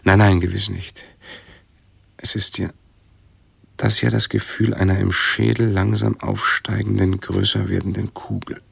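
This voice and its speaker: A man's voice: deep voice, flat delivery, measured pace, creaky, fairly bright tone, full character voice. An actor playing a pedantic, hesitant male hypochondriac intellectual who keeps correcting himself in a radio play. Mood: pedantic, uneasy.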